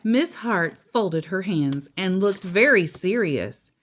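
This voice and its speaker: A woman reading a children's picture book aloud as storyteller, expressive and deliberate, with casual asides. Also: medium pitch.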